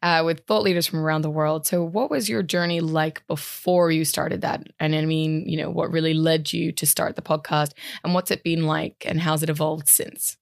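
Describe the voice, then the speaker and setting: Podcast host lady, low gravelly voice, upbeat, faint music under it. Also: steady tone, moderate pace, hardly any pauses, dry recording, clean studio sound. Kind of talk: podcast intro and interview.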